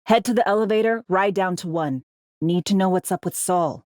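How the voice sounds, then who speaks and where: A woman's voice: medium pitch, normal speed, a little mellow, no room sound, clean studio sound, close to an everyday voice. A woman playing a brash, foul-mouthed, world-weary female spy in a video game, talking in clipped, slangy, sardonic lines. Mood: brisk, businesslike. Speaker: undercover field agent in a sci-fi game. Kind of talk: video game character dialogue.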